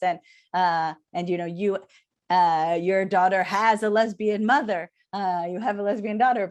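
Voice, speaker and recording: Halting woman, low voice. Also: a little mellow. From Israel. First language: Hebrew. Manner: thoughtful, halting, many fillers. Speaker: academic interviewer. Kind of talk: reflective conversation. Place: video call. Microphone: video call.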